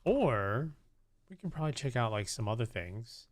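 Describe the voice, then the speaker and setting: A man's voice: medium pitch, sing-song, moderate pace. A man hosting a livestream, chatting casually to viewers in a rambling, hesitant way and often repeating himself. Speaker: live streamer host.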